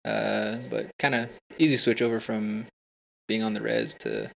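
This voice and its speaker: A young man who plays bass answering interview questions in an easygoing way, with many uhs. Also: sing-song, measured pace, creaky, a bit bright. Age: young adult. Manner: easygoing, filler-heavy. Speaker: bass player. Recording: faint ambient noise.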